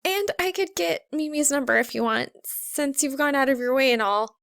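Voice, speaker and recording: A high-voiced, flustered college girl, played by a woman reading a story. She stammers and blurts, earnest but quick to get defensive, in a dry audiobook recording. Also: vocal fry, a bit bright, no echo. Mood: nervous, stammering.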